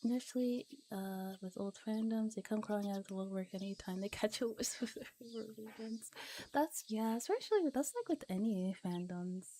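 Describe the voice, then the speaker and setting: A woman chatting casually on an ASMR livestream as a streamer who reads visual novels, soft-spoken and giggly, sometimes dropping into whispers. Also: mid-range voice, expressive, fairly quick, a little hiss or hum.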